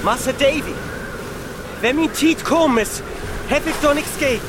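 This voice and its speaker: An actor playing a brave, devout fisherman with a Low German accent, resolute and plain-spoken, in a radio play. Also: normal speed. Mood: resolute, solemn. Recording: radio drama over loud surf and wind.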